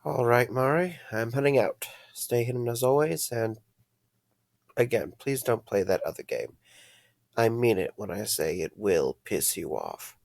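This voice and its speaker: Casting: young male night guard played by a man, creaky voice, sarcastic, caring, very dry recording. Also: mid-range voice, animated, a little slow, darkish tone, dry recording. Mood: weary. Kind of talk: audio drama acting.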